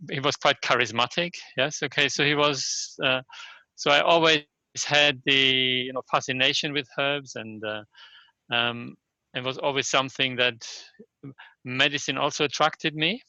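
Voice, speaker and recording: An older German-accented man gives thoughtful interview answers in a very bright, forceful voice. He pieces sentences together haltingly and keeps tagging them with a questioning yes. The sound is close and dry but somewhat lo-fi. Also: medium pitch, no room sound. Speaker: interview guest, Chinese medicine practitioner and scholar. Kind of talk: reflective conversational interview answers.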